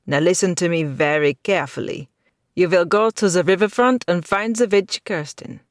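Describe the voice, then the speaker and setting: A single reader voicing a grim foreign knight who gives urgent, forceful orders. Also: medium pitch, moderate pace, no room sound, clean studio sound, voice pushed up, close to an everyday voice. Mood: commanding, urgent. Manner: commanding, forceful.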